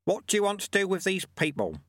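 A man's voice: high-pitched, wildly swooping pitch, brisk, a bit bright, no room sound, pitched above the performer's own voice, heavily acted. A man voicing a small elderly monk master in a storybook, wry, folksy and unflappable, with a cheeky instructive manner. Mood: brisk, friendly.

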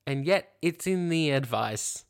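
A woman's voice: low voice, animated, measured pace, smooth. A woman co-hosting a podcast, reacting in casual banter with a sardonic, opinionated manner. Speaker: podcast co-host.

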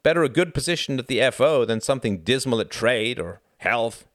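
A man's voice: mid-range voice, lively intonation, brisk, a bit bright, dry recording, studio quality, voice pushed up, natural voice. A man voicing a jovial, teasing young British diplomat in a storybook, with a hearty, exclamatory and persuasive manner.

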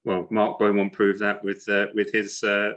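Low-voiced hesitant male interviewer. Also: monotone, moderate pace, fairly bright tone, lo-fi sound. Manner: hesitant, many ums, friendly questions. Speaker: podcast host, interviewer. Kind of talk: casual interview.

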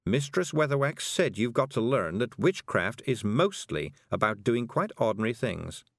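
A man voicing a middle-aged woman witch who speaks slowly, calmly and patiently. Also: medium pitch, gravelly, dry recording, clean studio sound. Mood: measured. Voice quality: slow, measured, patient.

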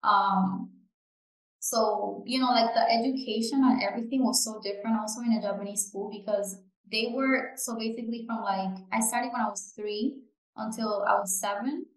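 A woman's voice: on the high side, steady tone, moderate pace, echoey room, rough recording. A young woman from the Canary Islands, co-hosting a podcast in casual chat, full of fillers and "you know". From Canary Islands, Spain. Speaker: podcast co-host.

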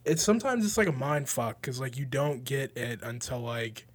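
A man's voice: mid-range voice, moderate pace. A young man co-hosting a podcast, chatting casually about music with slangy, informal phrasing and some swearing. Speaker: podcast co-host.

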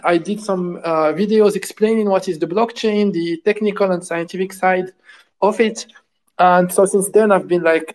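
A man from Morocco with a fairly high voice speaks as a guest in an interview. He rambles through his answers, full of fillers and restarts. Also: low-quality recording. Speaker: guest, game studio co-founder. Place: at home, lives in France.

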